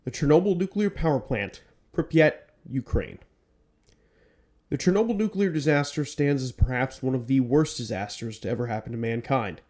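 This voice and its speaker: A man hosting a one-man podcast on a home mic, his voice a little stuffed up from a cold. His pitch sits in the middle range, and he moves from read-out narration into loose chat filled with ums and restarts. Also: moderate pace, darkish tone. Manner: rambling with many ums. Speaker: podcast host. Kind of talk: scripted narration then casual chat.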